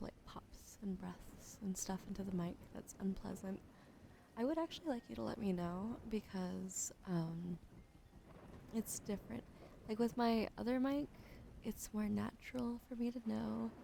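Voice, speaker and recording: A woman streamer chatting with viewers during an ASMR livestream, often whispering softly, close to a sensitive studio mic. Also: medium pitch, gravelly, a little hiss or hum. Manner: often whispering, soft and unhurried. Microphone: sensitive studio mic on a boom arm.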